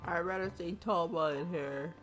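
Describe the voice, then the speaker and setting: A man's voice: fairly high pitch, normal speed, fairly bright tone. A man chatting casually and jokingly in slang as he streams a video game. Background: game music.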